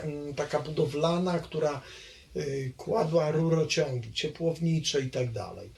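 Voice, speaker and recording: Older man from Poland, oral history interviewee, halting delivery full of fillers, faint background hiss. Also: on the high side, vocal fry. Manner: hesitant, halting speech with many fillers. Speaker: interviewee recalling his childhood.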